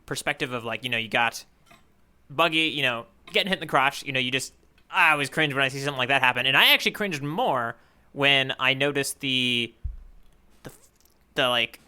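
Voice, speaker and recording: A man co-hosting a podcast, chatting casually and haltingly as he thinks aloud. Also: medium pitch, animated, sharp tone, clear, resonant tone. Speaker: podcast co-host. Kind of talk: casual chat reacting to a story.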